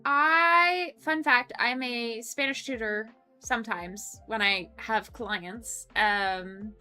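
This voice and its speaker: A young woman streamer chats at her desk mic and reads out viewer comments. Her voice sits a bit high and sounds bright and clear. She laughs easily and fills gaps with ums and restarts, with faint music playing behind her. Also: slow pace. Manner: chatty, laughs often, many ums and restarts. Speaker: streamer hosting a coworking stream. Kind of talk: casual chat reading viewer comments.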